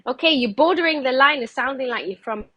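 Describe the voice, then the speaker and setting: Female, Mancunian, husky, slightly high, teasing and challenging, dry audio. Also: quick pace, sharp tone, no echo, muffled recording. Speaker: panel participant. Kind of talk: casual argument.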